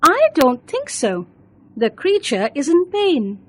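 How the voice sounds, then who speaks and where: Woman as an eager, high-voiced young girl space traveller. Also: sing-song, slow, exaggerated voice. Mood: brave concern.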